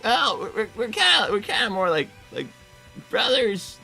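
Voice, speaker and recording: Someone playing a stammering, creaky-voiced teenage fox boy. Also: medium pitch, big pitch swings, normal speed, sharp tone, faint music behind, exaggerated voice, low-quality recording. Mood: nervous. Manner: hesitant, stammering. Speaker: live stream host reading donations. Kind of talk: improvised in-character livestream.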